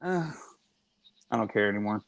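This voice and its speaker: A middle-aged man with a high, nasal voice, co-hosting a casual podcast chat. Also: expressive, normal speed, vocal fry, dry recording. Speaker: podcast co-host.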